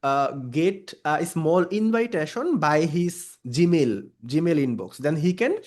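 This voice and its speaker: A man from Bangladesh with a Bangladeshi accent, a native Bengali speaker, pitching a service to a client on a video call in hesitant English full of fillers. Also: animated, slow pace, darkish tone. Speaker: freelance service provider.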